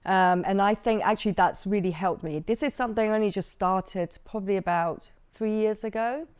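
A woman's voice: medium pitch, fairly quick, clear, resonant tone. A woman guest in a casual interview, chatty, with frequent fillers and easy laughter.

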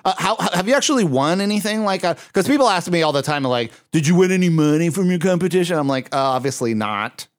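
Higher-voiced man of fifty hosting. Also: animated, fast talker, hardly any pauses. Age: 50s. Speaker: podcast host. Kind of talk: casual podcast chat.

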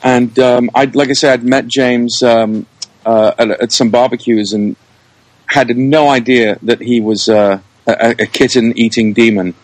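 Man, slightly low voice, effusive, repeats words, casual podcast guest, dry close sound. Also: fairly even intonation, unhurried, no room sound. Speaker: interview guest, producer, director and actor.

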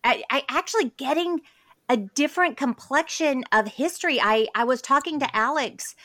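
A woman's voice: high-pitched, normal speed, a bit bright. A woman in her forties hosting a faith podcast, earnest and enthusiastic, with fillers and restarts as she talks. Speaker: podcast host. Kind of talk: conversational interview and sponsor read.

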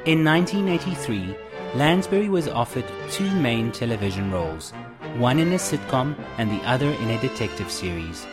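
A man narrating a documentary in a measured, informative way. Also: mid-range voice, very animated, loud music behind the voice. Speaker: narrator host.

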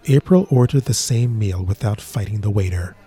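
A man narrating a short drama in a slow, measured way, with a husky voice. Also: fairly low pitch, dark tone, faint music behind. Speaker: narrator and lead character.

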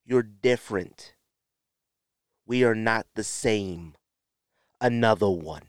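A man with an American accent giving opinionated solo podcast talk in a blunt, emphatic way, with drawn-out pauses and repeated phrases. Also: fairly low pitch, clean tone, no echo, studio quality. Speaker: podcast host.

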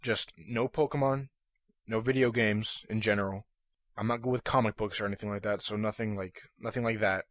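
A young man hosting a casual solo podcast, talking in a monotonous, rambling way full of fillers and restarts, his voice a little hoarse. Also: lowish voice, brisk, no room sound. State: slightly hoarse. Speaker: podcast host. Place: room at his computer.

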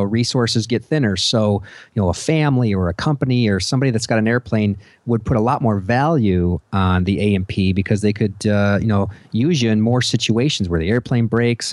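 A man's voice: lowish voice, a little mellow, no room sound. A man on a podcast panel, explaining things casually with easygoing, folksy phrasing.

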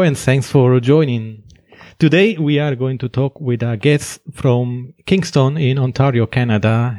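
A man with a Finnish accent hosting an interview, hesitant and quick to laugh. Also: mid-range voice, mellow, no room sound, studio quality. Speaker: podcast host.

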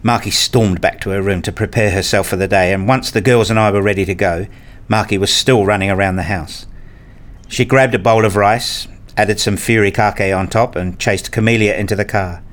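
Guy with a lowish voice narrating a radio play, first person, measured storytelling. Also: fairly even intonation.

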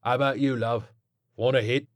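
A man's voice: medium pitch, steady tone, moderate pace, dry recording, clean studio sound, lightly acted. A man voicing a cheeky, carefree rock musician in a story, matey, teasing and crowing. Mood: coaxing. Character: cheeky, carefree male rock musician, drug-pushing party animal.

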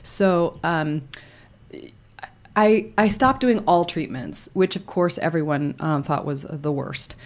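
Woman, kinda low voice, soft and reflective, lots of ums and restarts, easygoing interview chat. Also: slow pace. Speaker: guest. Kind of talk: casual conversational interview.

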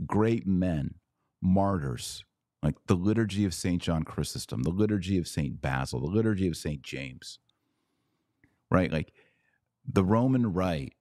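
Deep-voiced man giving emphatic commentary. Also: a little mellow, no echo, clean studio sound. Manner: emphatic, repeats phrases for effect. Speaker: podcast host. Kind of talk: opinionated commentary podcast.

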